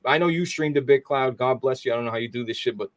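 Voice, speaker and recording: A man's voice: mid-range voice, fast talker, over a noisy crowd. A young adult man hosting a casual gaming podcast, rambling and profane, stressing his points. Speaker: podcast host.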